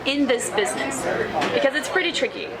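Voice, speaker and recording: A woman with a fairly high voice hosts an interview. She sounds upbeat and lively and keeps the talk moving with quick affirmations between her questions. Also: a loud crowd, very noisy. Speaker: interview host. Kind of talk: hosted interview.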